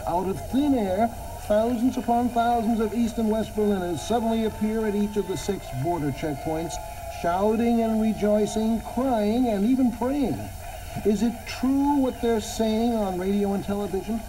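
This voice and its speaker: A man narrates dramatically in a high, excited voice. It sounds like an archival documentary soundtrack, with clear noise behind the words. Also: moderate pace.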